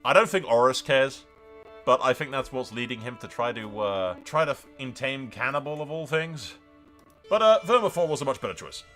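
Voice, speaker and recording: A man hosts casual game commentary in a lively, swinging voice with a bright, forceful edge. He is informal and wry, swearing freely as he jokes along. Faint music plays underneath. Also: medium pitch, moderate pace. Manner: informal, sweary, wryly joking. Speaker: video game streamer, host.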